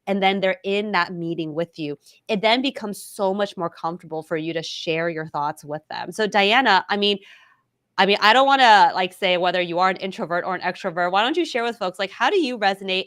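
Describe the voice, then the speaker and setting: A woman's voice: medium pitch, no echo. Dry-miked woman hosting a live panel. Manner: conversational, animated retelling of her own thoughts. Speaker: host. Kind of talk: live-stream panel discussion.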